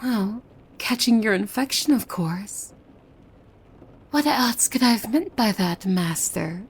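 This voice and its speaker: A woman playing a possessive, devoted demon maid in an ASMR roleplay, coy and formal, with a soft breathy voice that often slips into whispers. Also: mid-range voice, animated, slow pace, full character voice. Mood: possessive, sly. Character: possessive, devoted young female demon maid, sweetly menacing. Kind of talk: ASMR roleplay, scripted monologue to the listener.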